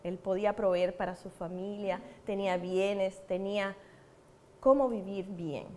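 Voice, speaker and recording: A woman's voice: medium pitch, fairly even intonation, unhurried, darkish tone. Earnest Cuban woman preaching. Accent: Cuban Spanish. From Cuba. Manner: earnest, repeats words for emphasis. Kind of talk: church teaching with personal testimony.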